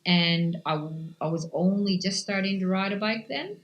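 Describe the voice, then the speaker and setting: A woman's voice: mid-range voice, animated, moderate pace, muffled recording. A woman in her fifties from Canada, with an accent between Canadian and Australian, a cycling coach answering interview questions earnestly. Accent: mix of Canadian and Australian.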